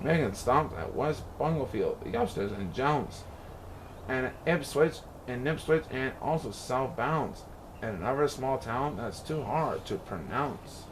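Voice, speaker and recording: A man reading a rhyming children's story aloud in an expressive, playful, sing-song way. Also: mid-range voice, measured pace, background noise. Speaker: picture book reader.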